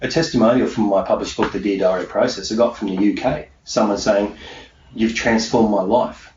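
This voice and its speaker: Australian male coach-interviewer, deep voice, emphatic and questioning, muffled recording with room noise. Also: fairly even intonation, brisk, a little mellow. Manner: emphatic, poses rhetorical questions and answers them. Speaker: podcast host and mindset coach. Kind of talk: conversational motivational interview. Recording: echoey room, muffled, noisy background.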